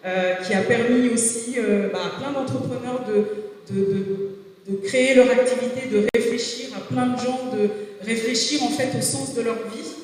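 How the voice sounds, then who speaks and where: A woman's voice: a little high, fairly even intonation, moderate pace, a little mellow, distant mic. Hesitant woman, echoey gym hall. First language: Swahili. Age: 40s. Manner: hesitant, many filler sounds and restarts. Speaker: business coach speaking at a jobs fair. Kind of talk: halting conference talk. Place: large gymnasium hall.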